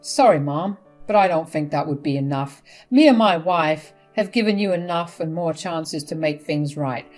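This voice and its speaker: A woman's voice: low-pitched, expressive, moderate pace, hardly any pauses, a little mellow. A woman voicing a fed-up adult son who refuses his mother bluntly and firmly, over faint music.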